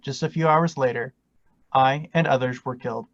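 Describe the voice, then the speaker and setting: A man reading a martyr's first-person words aloud in a steady, earnest way. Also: medium pitch, moderate pace, dry recording, highs cut off.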